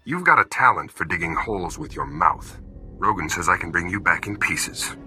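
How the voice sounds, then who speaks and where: An actor playing a menacing, swaggering bounty hunter, taunting and smug, in a video game. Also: male voice, low-pitched, animated, moderate pace, pushed and bright, full character voice. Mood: smug menace. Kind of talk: video game character acting. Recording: music under the voice.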